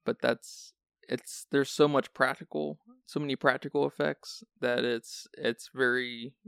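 A man hosting a casual film podcast, relaxed and rambling with a sleepy, raspy voice and jokey asides. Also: medium pitch, unhurried, no echo, studio quality. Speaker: podcast host.

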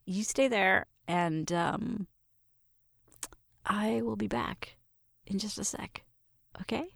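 A woman's voice: lowish voice, creaky, dry recording, clean studio sound, full character voice. A woman playing a shy, smitten young roommate in an intimate roleplay, nervous and stammering as she talks to the listener. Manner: nervous, stammering, giggly, self-interrupting.

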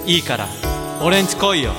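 A man's voice: sits high, sing-song, moderate pace. Man playing cocky romantic seducer in long reverb. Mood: seductive. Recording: reverberant, with loud music over voice.

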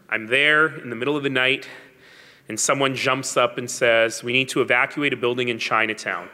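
A man's voice: mid-range voice, fairly even intonation, moderate pace, pauses often, pushed and bright. A man giving a public speech as a mayor, telling stories with wry asides and emphasis. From Canada. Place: large hall. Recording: long hall reverb.

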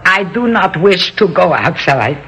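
An actress playing a stubborn, indignant older wife, argumentative in an old radio drama. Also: female voice, lowish voice, sing-song, unhurried, fairly bright tone. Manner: argumentative, Yiddish-inflected phrasing. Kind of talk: 1960s radio drama acting. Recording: very noisy old radio recording.

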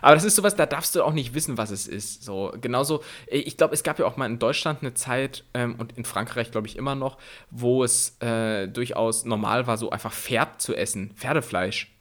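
Male podcast co-host, mid-pitched, halting and wry in loose banter. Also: a bit bright. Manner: hesitant, many fillers and restarts, wry. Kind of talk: casual chat.

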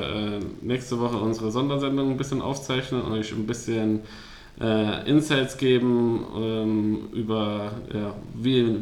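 A man's voice: mid-range voice, roomy sound. A man co-hosting a podcast, chatting casually and rambling with many fillers and restarts. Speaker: podcast co-host. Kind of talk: casual chat.

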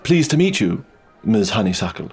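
A man playing a pompous but flustered British gentleman, bumbling and awkwardly polite. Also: on the low side, very animated, normal speed, husky, faint music behind, a faint crowd. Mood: flustered, smitten. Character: pompous, flustered dandy gentleman named Artridge.

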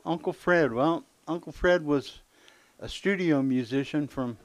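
A man's voice: on the high side, unhurried, no echo. A man in his seventies, a musician casually reminiscing in an interview. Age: 70s.

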